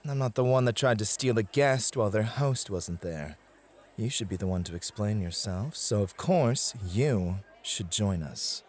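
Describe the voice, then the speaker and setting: A man plays a possessive male nightclub host with a fairly low voice and very animated intonation. He is suave and pointedly polite, with a sardonic, territorial edge. The style is intimate ASMR roleplay.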